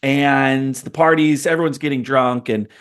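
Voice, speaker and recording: A man in his forties hosts a podcast, musing aloud and drifting into long stories with many fillers. His voice sits at an ordinary middle pitch. He sounds reflective and conversational. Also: steady tone, normal speed, a bit bright, smooth. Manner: thoughtful, rambling, storytelling. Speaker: podcast host. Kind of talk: casual conversation.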